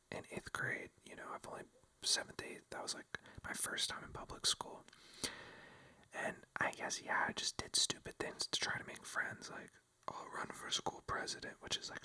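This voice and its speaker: A young man telling a story in a close, soft ASMR whisper, rambling and self-mocking with lots of fillers. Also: moderate pace.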